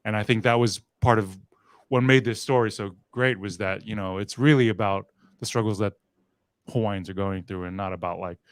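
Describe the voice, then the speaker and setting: A man on a panel thinks aloud about his acting work, hedging with fillers as he goes. His voice sits fairly low. The sound is close and dry. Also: normal speed, clear, resonant tone, no room sound. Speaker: actor on a panel. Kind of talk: casual panel discussion.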